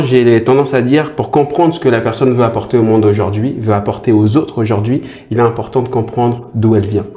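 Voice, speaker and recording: A man's voice: fairly low pitch, dark tone. Man hosting a podcast, echoey room. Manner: attentive, short encouraging backchannels. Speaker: podcast host. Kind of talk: casual interview. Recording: roomy echo with faint music.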